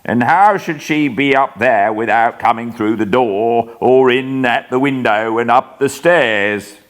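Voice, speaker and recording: A man's voice: mid-range voice, measured pace, pitched above the performer's own voice, natural voice. A man voicing a cowardly, scornful schemer who talks sneeringly and impatiently.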